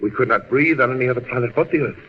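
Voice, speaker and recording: A man's voice: mid-range voice, rapid-fire, natural voice. A man acting in a 1940s radio drama, playing an earnest alien scientist and father facing doom, grave and theatrical. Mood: grave, reassuring. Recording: dull, very noisy old radio recording.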